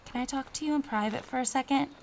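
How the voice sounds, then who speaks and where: A woman playing a guarded American high school girl in an audio drama, talking in a casual, dry way. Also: quick pace, gravelly, slight background noise.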